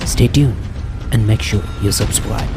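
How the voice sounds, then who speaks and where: A man's voice: on the low side, measured pace, vocal fry. A man reading a scripted closing announcement as the announcer of an audio drama. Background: music behind the voice.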